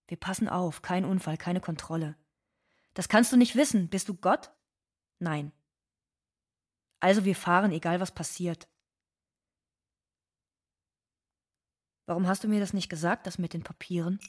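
A husky, low-voiced migrant woman. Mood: anxious, pleading. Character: anxious, bitter undocumented migrant woman in Munich. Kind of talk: stage-like scripted monologue.

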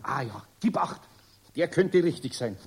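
A man playing a kindly, patient old master carpenter in a children's radio play, husky, with a Bavarian accent and a homely, gently scolding manner. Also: fairly high pitch, expressive, a little slow, full character voice. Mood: chuckling, kindly.

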